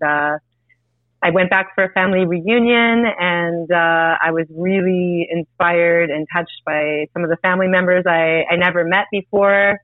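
A woman from Canada, a musician guest, talking in a relaxed storytelling way with fillers. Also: fairly low pitch, no echo. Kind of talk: interview over a phone line. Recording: tinny phone line, dry.

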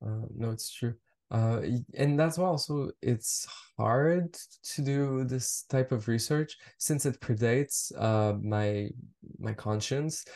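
A man's voice: mid-range voice, slow pace, a little mellow. French Canadian man, reflective and hesitant. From Montreal, French Canada. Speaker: fashion researcher and collector. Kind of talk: casual podcast conversation.

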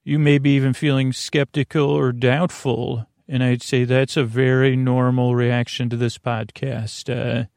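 A gravelly, fry-heavy male voice in a very dry recording. He hosts a sleep podcast as a soothing, rambling monologue. He stumbles over word starts, circles back and questions himself as he drifts between tangents. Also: mid-range voice, flat delivery, measured pace, hardly any pauses, a little mellow, dry recording. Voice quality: creaky. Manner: meandering, stammering, tangent-prone, self-questioning; soothing, lulling, drowsy delivery. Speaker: podcast host.

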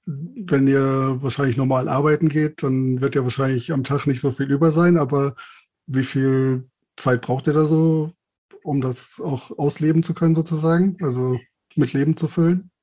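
A man's voice: mid-range voice, fairly even intonation, normal speed, a little mellow. A man interviewing guests on a podcast, asking questions in an informal, tentative way.

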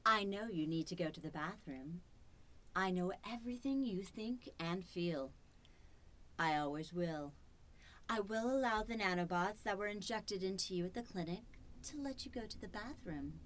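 A woman playing a cold, controlling artificial intelligence in a horror radio drama, measured, commanding and possessive. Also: medium pitch, animated, fairly bright tone, natural voice. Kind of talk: old-time radio horror acting.

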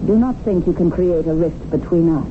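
Stern young revolutionary played by an actress: formal, commanding, contemptuous. Vintage radio drama, narrow noisy sound. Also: female voice, lowish voice, fairly quick, close to an everyday voice. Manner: formal, stilted, commanding, oratorical. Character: stern, scornful young revolutionary woman kidnapper with a gun. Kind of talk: 1970s radio drama acting. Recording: noisy, tinny phone-like old radio broadcast.

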